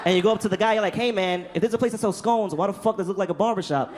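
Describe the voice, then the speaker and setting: A man's voice: sits high, racing. A man doing a stand-up set on a comedy club stage, rambling through a story. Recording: club crowd and noise.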